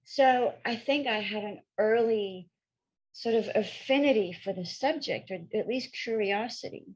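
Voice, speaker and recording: Middle-aged female therapist from France, bilingual, husky, frank storyteller. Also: on the high side, measured pace, poor audio. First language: French and English, bilingual. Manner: frank, candid, matter-of-fact with hesitations. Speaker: sex therapist guest. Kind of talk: storytelling interview answers.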